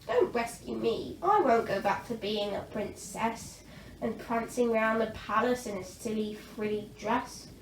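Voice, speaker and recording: A woman playing a kind, plucky little princess in a storybook, with a gentle, lilting manner. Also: fairly high pitch, unhurried. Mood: determined. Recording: roomy, distant mic, faint noise.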